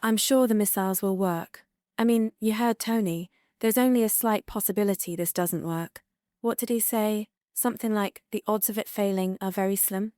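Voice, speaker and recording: A woman's voice: mid-range voice, moderate pace, darkish tone, clear, resonant tone, dry recording, clean studio sound, natural voice. A woman playing a composed, reassuring TV news anchor in a disaster drama, talking conversationally as if live on air. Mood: reassuring, hopeful. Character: composed female TV news anchor during a catastrophe.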